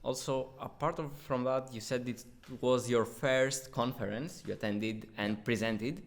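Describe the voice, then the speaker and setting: A man's voice: medium pitch, steady tone, measured pace. A man hosting a podcast, speaking in a conversational academic interview style.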